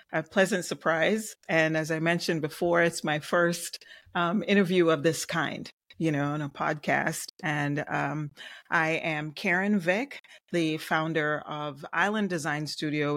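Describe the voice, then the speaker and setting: A middle-aged woman from Jamaica with a light Jamaican accent, a guest talking in a friendly, measured way with many pauses. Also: deep voice, a bit bright, dry recording, studio quality. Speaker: guest, web designer and digital marketer. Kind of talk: casual interview chat.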